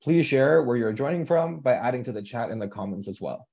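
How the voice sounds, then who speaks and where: A man's voice: medium pitch, expressive, brisk, vocal fry, dark tone. Upbeat young man moderating a video call. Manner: upbeat, encouraging. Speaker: council chair and moderator. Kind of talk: moderating a live video panel. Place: home room. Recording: slightly muffled video call audio.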